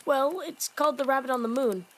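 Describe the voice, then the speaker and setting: Woman voicing a highish little fox-demon boy. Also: brisk, slight background noise, natural voice. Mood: earnest, sleepy. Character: small, sleepy, earnest young boy fox demon. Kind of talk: fan audio drama acting.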